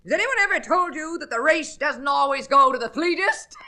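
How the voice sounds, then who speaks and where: Imperious middle-aged matron, high bright voice thrown across the room, swooping pitch, vintage radio. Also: female voice, heavily acted. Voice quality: projecting, as if addressing someone far away. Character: overbearing, militant middle-aged society aunt. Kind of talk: 1940s radio drama acting.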